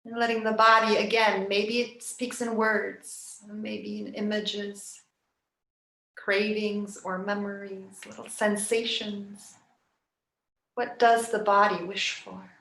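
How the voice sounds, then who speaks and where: A woman yoga nidra teacher leading a guided relaxation meditation in a soft, soothing, unhurried way with long pauses. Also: mid-range voice, little pitch movement, gravelly. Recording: roomy, echoing sound.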